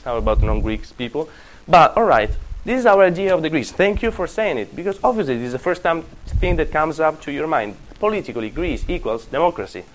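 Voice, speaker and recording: A man's voice: medium pitch, rough recording. A man with an Italian accent lecturing a class, digressing often, correcting himself and joking with students. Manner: digressive, self-correcting, jokey asides to students. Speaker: university lecturer.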